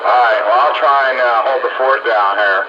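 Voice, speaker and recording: A man's voice: mid-range voice, normal speed. A man chatting casually as a radio operator. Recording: noisy, muffled radio transmission.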